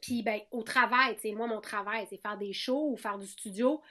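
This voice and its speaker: A woman's voice: a little high, steady tone, normal speed, pushed and bright. A woman in her thirties with a Québécois French accent, talking frankly as a podcast guest, often restarting and repeating words. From Quebec. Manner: frank, many restarts and repetitions. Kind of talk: candid conversational interview.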